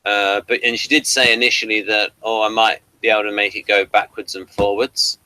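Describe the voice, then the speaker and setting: British male co-host, bright tone, dry sound, informal and meandering reviewer. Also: lowish voice, level tone, a little fast, no echo, rough recording. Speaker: podcast co-host. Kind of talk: casual review discussion.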